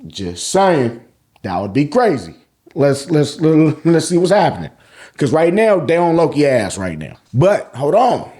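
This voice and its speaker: American guy doing a slangy manga recap, swears a lot, voice a bit high and jumps all over, repeats stuff for emphasis. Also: unhurried. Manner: animated, profane, repeats phrases for emphasis. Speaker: host of a manga review show. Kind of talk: casual, slangy chapter recap commentary.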